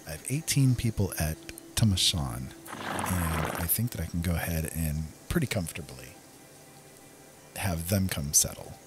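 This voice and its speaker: Low-voiced man casually narrating his gameplay. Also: animated, moderate pace, over music. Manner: playful, chatty, talks to himself. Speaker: gaming video host.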